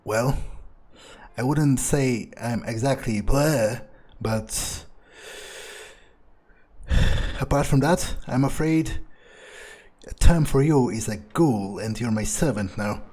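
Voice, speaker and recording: A man's voice: medium pitch, takes it slowly, long pauses, a little mellow. A man playing a smug, power-hungry vampire in improvised roleplay, musing and gloating in a hesitant way. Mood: smug, matter-of-fact. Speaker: gamer playing in character.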